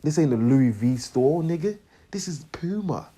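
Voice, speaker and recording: A young man from Congo, telling a story in a slangy, animated rant and often asking "you know what I'm trying to say". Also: on the high side, moderate pace, husky. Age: young adult. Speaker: vlogger.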